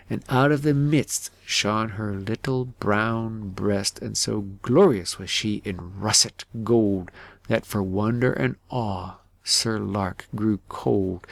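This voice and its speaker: A man narrating a fairytale audiobook in an expressive, measured storybook manner. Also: lowish voice, gravelly, dry recording.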